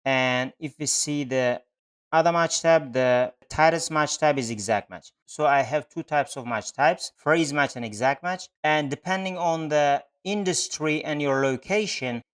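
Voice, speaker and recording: A man's voice: a little high, a bit bright. A man narrating an instructional screen-share tutorial, explaining step by step with non-native phrasing. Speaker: Google Ads instructor.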